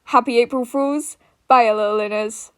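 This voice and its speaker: A woman's voice: a little high, steady tone, moderate pace, clean tone, no echo, clean studio sound. A woman podfic narrator chatting casually to her listeners in an informal, giggly, self-deprecating way.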